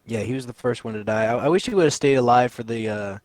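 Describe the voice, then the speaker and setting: A man's voice: medium pitch, fast talker, a little mellow, no room sound. A seventeen-year-old guy chatting casually about a horror movie, brash and rambling, swearing freely. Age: teens. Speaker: co-host. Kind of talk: casual movie review chat.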